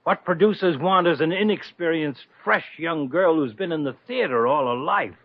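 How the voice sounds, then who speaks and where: An actor playing a shameless, brusque small-time talent agent in a 1950s radio comedy, slangy and rough-edged. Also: male voice, a little high. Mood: huffy, dismissive. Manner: slangy, brusque, fast-talking brush-offs. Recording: dull old radio recording.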